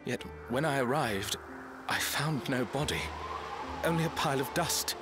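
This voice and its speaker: An actor playing a polite, solemn usher with formal, measured wording in a video game cutscene. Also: male voice, mid-range voice, lively intonation, over music, natural voice. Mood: puzzled, careful.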